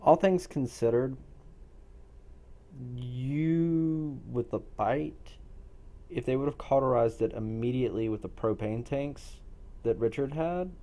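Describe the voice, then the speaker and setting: A man speaking as a game master, explaining a role-play session in a deliberate, measured way. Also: mid-range voice, mellow.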